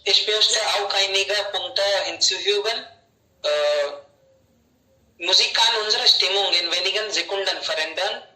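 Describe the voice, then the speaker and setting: A man's voice: high voice, measured pace, pushed and bright, faint music behind. A man talking in a group conversation over a video call, searching for words with many fillers. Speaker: German learner. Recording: roomy sound, distant mic.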